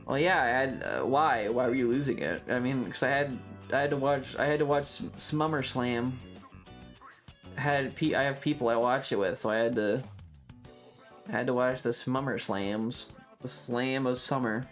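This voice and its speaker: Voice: mid-range voice, moderate pace. Casual, emphatic livestreamer with music behind. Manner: swearing, emphatic, animated ranting. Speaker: livestream host. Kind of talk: casual rambling livestream chat.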